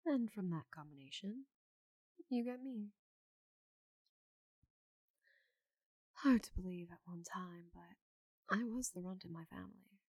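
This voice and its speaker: A woman voicing a giant female orc knight in an ASMR roleplay, confident, teasing and loyal, with soft breathy asides. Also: lowish voice, normal speed, dry recording, low-quality recording. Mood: fond reminiscing. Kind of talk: ASMR roleplay, intimate slow delivery.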